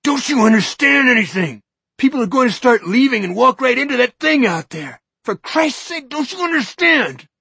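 Man as forceful determined guy, husky, urgent. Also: fairly high pitch, sing-song, measured pace, no room sound, exaggerated voice. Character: determined, forceful grown man confronting a coward.

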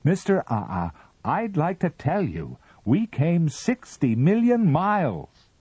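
A man reading aloud and voicing a frantic male rocket captain who shouts and pleads to be heard. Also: a little high, wildly swooping pitch, unhurried, slightly rough, no echo, pitched above the performer's own voice, exaggerated voice. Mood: insistent. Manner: loud, pleading, straining to be heard.

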